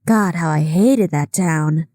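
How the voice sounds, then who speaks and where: A woman's voice: on the low side, slow, mellow, no echo, clean studio sound. A woman reading aloud, voicing a chatty, gossiping young woman with a raised, breathless voice. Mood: chattering.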